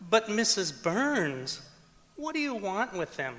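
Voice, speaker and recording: A man's voice: high voice, sing-song, slow, a bit bright, exaggerated voice. A man playing an exasperated, harried tax preparer in an old-time radio comedy performed on stage. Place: performing arts center auditorium, live stage. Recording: echoey auditorium stage hall.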